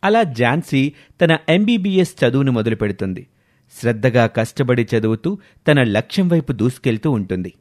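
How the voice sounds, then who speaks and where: A man's voice: medium pitch, very animated, normal speed, a little mellow. A man reading a scripted story as its narrator.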